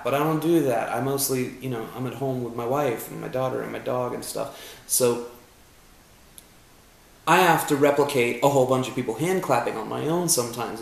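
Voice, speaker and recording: A man's voice: medium pitch, vocal fry, roomy sound. A young man vlogging about recording music at home, chatty and self-deprecating, with joking profane asides. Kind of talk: casual chat. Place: home room.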